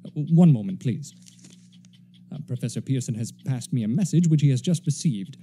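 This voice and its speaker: An actor playing an earnest, excitable male news reporter in a 1930s-style radio drama, formal but prone to stammer. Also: medium pitch, moderate pace, a little hiss or hum, close to an everyday voice. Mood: formal, composed. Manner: formal announcer delivery, stammering when flustered.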